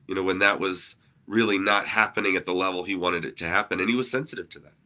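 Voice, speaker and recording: A man's voice: low voice, fairly even intonation, quick pace, pushed and bright. A man who grew up in Argentina, co-hosting a conversational discussion. He explains ideas earnestly and often checks in with "right?". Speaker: co-host, ministry innovation speaker.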